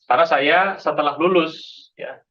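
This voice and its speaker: A man's voice: a little high, steady tone, normal speed, sharp tone, lo-fi sound. A man in his late thirties with an Indonesian accent gives an informal, conversational lecture to students, dotting his speech with small checking tags. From Bengkulu, Indonesia. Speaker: university lecturer. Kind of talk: informal lecture to students, mixing in English phrases.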